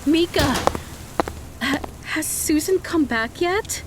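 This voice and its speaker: A woman's voice: high voice, expressive, slow pace, slight background noise. A woman playing a worried mother in an audio drama, speaking in an earnest, anxious manner. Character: worried mother of a teenage girl. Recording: music behind the voice.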